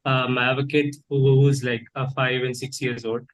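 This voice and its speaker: A man's voice: medium pitch, little pitch movement, normal speed, low-quality recording. A father asking questions hesitantly, with many fillers and restarts. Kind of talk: casual question.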